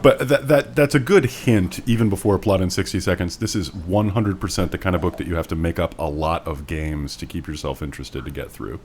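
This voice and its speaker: A man's voice: low-pitched, fairly quick, hardly any pauses, darkish tone, slight background noise. A man co-hosting a podcast in casual comedic chat, with a wry, sarcastic manner. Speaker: podcast co-host.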